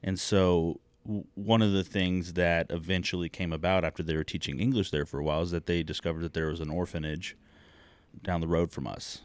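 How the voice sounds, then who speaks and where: A man with a notably low voice, raised in China, speaks as a podcast guest. He is measured and reflective, pausing on fillers and restarting his phrases. The sound is close and dry, with no room around it. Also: fairly even intonation, no room sound. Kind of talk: reflective personal storytelling.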